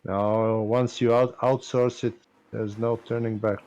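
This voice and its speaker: A man from Serbia with a Serbian accent, chatting casually in English and pausing often to find his words. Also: fairly low pitch, little pitch movement, darkish tone, dull audio. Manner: slow, halting, searching for English words. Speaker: streamer. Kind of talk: casual chat.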